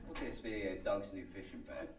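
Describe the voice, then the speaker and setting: A man's voice: on the low side, fairly even intonation, fast, faint music behind, close to an everyday voice. A man playing a folksy older husband in a radio comedy, dry, teasing and a little grumbly. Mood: grumbling. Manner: dry, joking, casual. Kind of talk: old-time radio comedy acting before an audience. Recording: roomy live stage, distant mic, audience.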